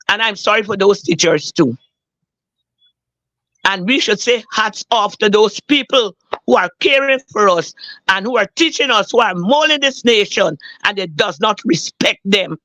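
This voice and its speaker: Hoarse woman on a dull line. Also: medium pitch, expressive, a little slow, fairly bright tone, dry recording. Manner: earnest, repeats herself for emphasis. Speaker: caller on a talk show. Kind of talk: emotional personal account.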